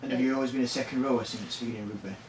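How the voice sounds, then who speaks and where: A man asking prepared interview questions in a measured way. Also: medium pitch, steady tone. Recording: echoey room, far from mic, noisy.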